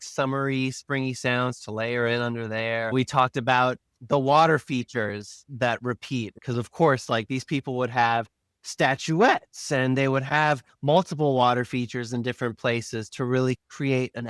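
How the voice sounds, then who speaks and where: A man with a smooth, clear voice of medium pitch explains sound design as a podcast guest. His delivery is informal and casual. It sounds dry, with no echo. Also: fairly even intonation, moderate pace, fairly bright tone.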